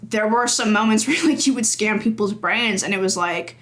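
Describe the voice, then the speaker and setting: A woman's voice: mid-range voice, normal speed, a bit bright. A young woman guesting on a games podcast, speaking casually and thinking aloud with plenty of hedging. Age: young adult. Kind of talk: casual interview chat.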